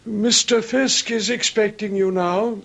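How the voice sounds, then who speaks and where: An actor playing a mild-mannered, proper manservant in a radio drama, polite, tactful and a little hesitant. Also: male voice, sits high, fairly even intonation, unhurried, creaky, fairly bright tone, full character voice. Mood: discreet, apologetic. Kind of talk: old-time radio drama acting. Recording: dull lo-fi radio drama recording.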